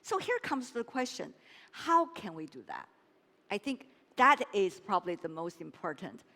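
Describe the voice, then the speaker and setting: Lively woman moderator with animated pitch. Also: normal speed, in a large hall. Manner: lively, encouraging, frequent affirming hums. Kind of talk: moderating a panel discussion.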